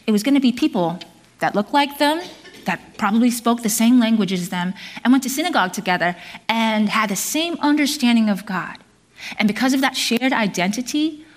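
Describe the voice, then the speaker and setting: Earnest woman preaching a sermon. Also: on the high side. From South Korea. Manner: earnest, measured, with fillers and restarts.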